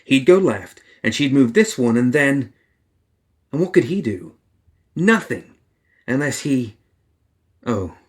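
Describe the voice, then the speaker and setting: Expressive male fiction narrator. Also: medium pitch, slow, long pauses. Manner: expressive, takes on characters' inner voices. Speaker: audiobook narrator.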